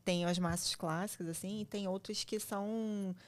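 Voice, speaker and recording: A woman's voice: medium pitch, steady tone, moderate pace. A woman hosting a food podcast, talking in a conversational way and explaining with everyday examples. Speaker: podcast host. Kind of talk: casual podcast interview.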